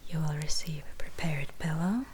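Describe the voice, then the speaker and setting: A woman playing a gracious medieval fantasy innkeeper in an ASMR roleplay, speaking in a soft, breathy whisper with gentle, old-fashioned formal phrasing. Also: low-pitched, normal speed, noisy background, natural voice. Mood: gentle, mysterious.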